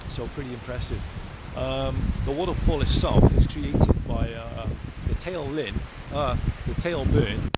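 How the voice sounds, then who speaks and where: Guy doing a casual travel vlog, kinda halting n thoughtful, mid pitch, really loud windy noise all round. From South Wales. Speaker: vlogger, artist explorer. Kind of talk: casual chat to camera. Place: outdoors in rainy, windy hills. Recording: loud wind and outdoor noise.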